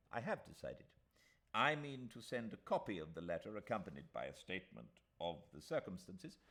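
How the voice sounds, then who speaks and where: High-pitched, pompous old English gentleman. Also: gravelly, heavily acted. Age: older. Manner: emphatic, formal, repeats himself pompously. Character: fussy, self-assured old English gentleman solicitor, genial and complacent. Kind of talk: audiobook character voice.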